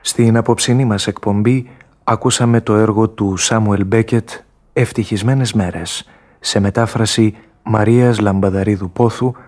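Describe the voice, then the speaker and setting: A man announcing radio programme credits in a formal, measured, scripted style. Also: fairly low pitch, a little mellow.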